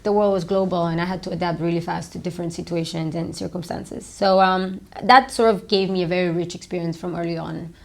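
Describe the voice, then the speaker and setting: A woman in her thirties, originally from Romania, tells her story in a somewhat low voice. She rambles loosely, filling pauses with "um" and "like" as she answers interview questions in an office. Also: steady tone, vocal fry, darkish tone. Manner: rambling, many fillers like "um" and "like". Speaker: startup founder and CEO.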